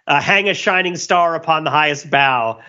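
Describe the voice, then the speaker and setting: Bright, animated man on a slightly dull mic. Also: on the high side, normal speed. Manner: emphatic, enthusiastic, explanatory. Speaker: podcast co-host. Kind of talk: casual comedic podcast chat. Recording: slightly dull, lo-fi microphone sound.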